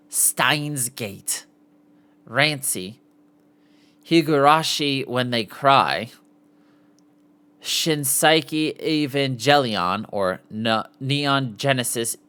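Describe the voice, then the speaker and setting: A man's voice: mid-range voice, extremely slow, long pauses, bright, forceful tone, clear, resonant tone. A man hosting a casual live podcast, reading aloud and sometimes stumbling over the words. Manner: stumbles over foreign names, sounding them out. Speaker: podcast host.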